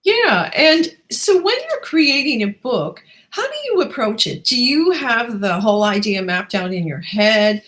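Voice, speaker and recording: A woman's voice: on the high side, big pitch swings, unhurried, hardly any pauses, fairly bright tone. A woman hosting a book show, introducing her guest and asking questions in a conversational interview style. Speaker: talk show host interviewing an author.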